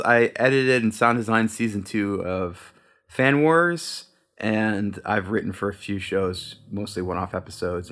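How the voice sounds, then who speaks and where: Low-voiced man chatting in an interview. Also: fairly bright tone. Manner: chatty, rambling, self-correcting. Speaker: podcast co-creator, interview guest. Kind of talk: casual interview answers.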